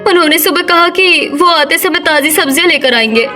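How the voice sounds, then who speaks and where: A woman's voice: very high-pitched, fairly quick, pushed and bright, soft background music. A woman voicing a pointed, complaining young village daughter-in-law in a cartoon story. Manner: pointed, plaintive.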